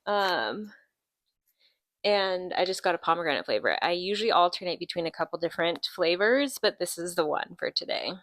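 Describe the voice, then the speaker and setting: A woman's voice: on the low side, lively intonation, moderate pace, a bit bright, dry recording. A woman in her late twenties hosting a podcast, chatting casually and rambling through tangents with lots of ums. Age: 20s. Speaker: podcast host. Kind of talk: casual chat.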